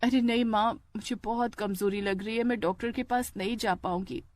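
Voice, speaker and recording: A woman's voice: fairly high pitch, steady tone, moderate pace, darkish tone, lightly acted. Meek young daughter-in-law played by a woman. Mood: weak.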